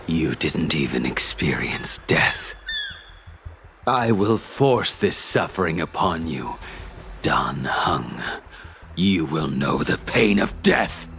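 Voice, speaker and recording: A man voicing a tormented, menacing swordsman bent on revenge in a video game cutscene, halting between whispers and manic outbursts. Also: a bass voice, sing-song, heavily acted. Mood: cold, vengeful. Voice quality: gravelly, breathy whispers. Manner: slow, halting, swinging into manic laughter and shouts. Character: unhinged vengeful male swordsman, tormented and menacing. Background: background music.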